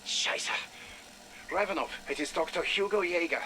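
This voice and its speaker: A man's voice: a little high, expressive, moderate pace, sharp tone, a little hiss or hum, low-quality recording. A man playing an anxious German scientist with a German accent, leaving an urgent, earnest recorded message in a game.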